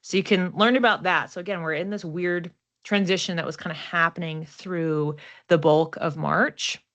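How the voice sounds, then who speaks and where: Woman, slightly low voice, card reader and astrology host, casual unscripted delivery, conversational, reflective. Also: fairly even intonation, moderate pace, a bit bright. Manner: conversational, reflective, thinking aloud.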